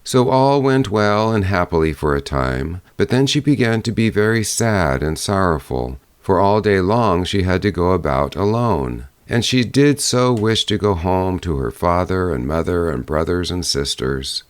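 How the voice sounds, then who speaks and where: A man narrating a fairy-tale audiobook in a measured storytelling manner. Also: sits low, lively intonation, smooth, no room sound.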